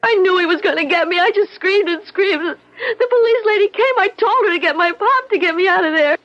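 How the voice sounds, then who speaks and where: An actress in a 1970s radio drama playing a frightened, distraught young girl in a halting, childlike way. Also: extremely high pitch, lively intonation. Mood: tearful panic. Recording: dull, lo-fi 1970s radio recording.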